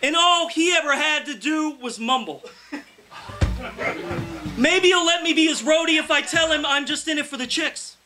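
A man in his thirties giving a loud, emphatic poetry reading, pushing out phrases in a declamatory shout at a live indoor reading. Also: extremely high pitch, normal speed, long pauses, soft background music. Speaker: poet, featured reader.

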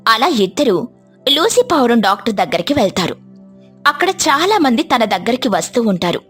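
A woman's voice: a little high, very animated, a little fast, fairly bright tone, slightly rough, faint music behind. A woman narrating a scripted children's story as a storyteller.

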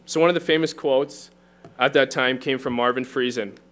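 A young man delivers a prepared talk at a conference lectern, reading steadily from his notes. His voice sits in an ordinary middle pitch and carries through a lectern microphone in a large hall. The manner stays earnest and measured. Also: fairly even intonation, a bit bright. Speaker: conference speaker, poultry farmer.

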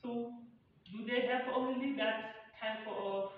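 A distant, dull recording in a hard room. A woman acts as a polite, curious female customer in a promotional skit, asking questions at an unhurried, slow pace. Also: on the high side, fairly even intonation. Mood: interested. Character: curious, polite woman making small talk with receptionist. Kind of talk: acted promotional dialogue. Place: indoors, tiled front room. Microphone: distant camera or phone across the room. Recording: very echoey room, distant dull mic, noise.

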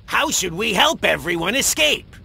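A brave, proud cartoon big cat, heroic and emphatic. The voice is gravelly and forceful, leaping wildly up and down over faint music. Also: mid-range voice, sing-song, normal speed, heavily acted. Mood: concerned. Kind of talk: children's cartoon voice acting.

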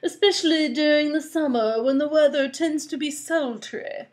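A woman's voice: sits high, normal speed, voice pushed up, close to an everyday voice. A woman voicing a prim, polite storybook rascal who speaks in wordy, formal phrases. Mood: politely agreeable. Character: prim, well-spoken rascal, mild and unpiratelike.

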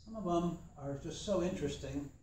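A young man teaching a tai chi class in a dojo while he demonstrates, talking in a relaxed, thoughtful way. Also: on the high side, brisk, slight background noise. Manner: relaxed, thoughtful, chatty with fillers. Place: large empty dojo with mat floor. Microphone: far camera. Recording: echoey room from a distance.

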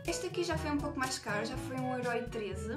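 A woman's voice: high-pitched, lively intonation, quick pace. A young woman with a European Portuguese accent, showing cheap online purchases in a hesitant, filler-heavy way. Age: young adult. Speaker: beauty vlogger. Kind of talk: casual haul video. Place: small bathroom. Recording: echoey bathroom with loud music.

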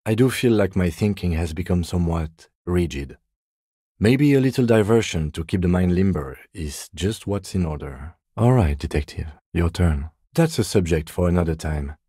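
Middle-aged police lieutenant, video game dialogue, husky, measured, understated, dry wit, deep voice, close dry sound. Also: male voice, lively intonation, dark tone, dry recording. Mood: composed, wry. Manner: measured, understated, dryly wry.

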